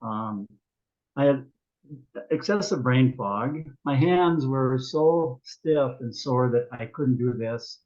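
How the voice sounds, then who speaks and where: A man in his seventies, a guest telling his story in a casual, unhurried way with many pauses and ums. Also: medium pitch, darkish tone. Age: 70s. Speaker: guest business partner. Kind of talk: casual video call chat. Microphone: video call. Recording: muffled video call audio.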